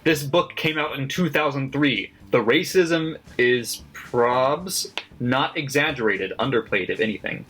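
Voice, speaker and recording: A man's voice: medium pitch, measured pace, a bit bright, poor audio. A man casually reading out his margin notes on a book, chatty and sardonic, with lots of fillers and swearing. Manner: loose and chatty, many fillers, frequent swearing; sardonic and critical, laughs at own notes. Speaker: book vlogger. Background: faint background music.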